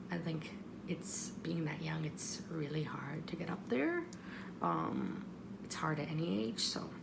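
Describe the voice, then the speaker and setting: Creaky-voiced woman vlogger, rambling and self-deprecating. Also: on the low side, background noise. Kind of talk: casual first-person storytelling.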